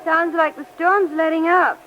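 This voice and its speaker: An actress playing a devoted, earnest wife in a 1940s radio drama. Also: female voice, very high voice, fairly even intonation, measured pace. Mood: tender, concerned. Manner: earnest, concerned. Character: devoted, supportive wife in a modest coastal cottage. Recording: muffled, noisy 1940s radio recording.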